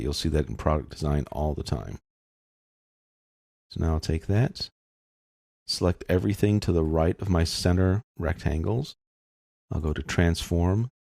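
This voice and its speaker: Very deep, creaky-voiced man. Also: mellow, dry recording, clean studio sound. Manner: explanatory, informal, thinking aloud. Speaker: software tutorial instructor. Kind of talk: step-by-step screen tutorial narration, casual.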